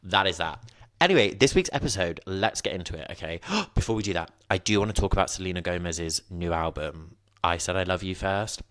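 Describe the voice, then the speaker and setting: A man's voice: deep voice, expressive, fairly quick, a bit bright, no room sound, clean studio sound. A man, chatting casually and rambling as a podcast host, with a sniffly nose. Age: 20s. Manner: chatty, rambling, self-mocking asides. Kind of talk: casual solo podcast chat. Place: at home, indoors.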